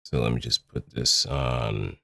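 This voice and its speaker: A man streaming a casual tutorial, explaining things step by step in a deliberate, halting way with emphatic repetition. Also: a bass voice, fairly even intonation, vocal fry, sharp tone, breathy edge, no room sound, studio quality.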